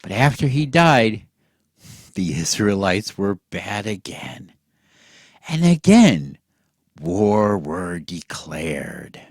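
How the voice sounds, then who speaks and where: A man telling a satirical bedtime story as if to children, in a theatrical, mock-earnest way with drawn-out words and hushed drops. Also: on the low side, slightly rough, dry recording, clean studio sound.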